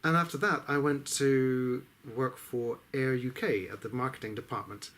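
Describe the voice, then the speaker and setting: A middle-aged man recalls his life story in a thoughtful, unhurried way. He often pauses and hesitates between phrases. His voice sits in a medium register. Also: mid-range voice, steady tone, fairly bright tone. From England, Exeter. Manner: reflective, frequent hesitations. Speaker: business coach. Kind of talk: personal life story told to camera.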